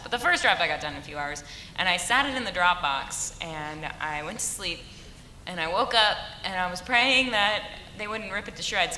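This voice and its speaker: A woman's voice: medium pitch, bright, forceful tone. A woman writer speaking casually on a convention panel, rambling and laughing often. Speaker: writer on an animation team panel. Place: large convention hall. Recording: echoey hall, faint room noise.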